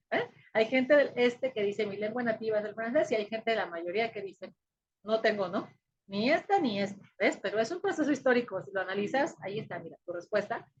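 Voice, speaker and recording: A woman's voice: on the high side, breathy edge. A woman with a Mexican accent teaching a recorded lesson. She explains step by step, with many fillers and frequent check-ins with the listener. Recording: dull lo-fi recording.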